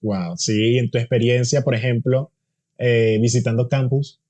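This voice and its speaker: A man's voice: mid-range voice, fairly even intonation, measured pace, mellow. Friendly male interviewer. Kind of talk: casual interview conversation.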